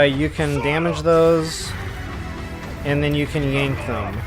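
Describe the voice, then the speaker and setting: Casual man commentating over game music. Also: a little high. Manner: casual, talks directly to viewers. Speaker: gaming channel host. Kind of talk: live gameplay walkthrough commentary.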